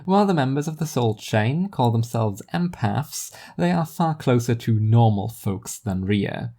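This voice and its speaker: Fiction narrator, first person, wry and literary, relaxed dark timbre, mid pitch. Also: a little slow. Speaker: narrator and storyteller.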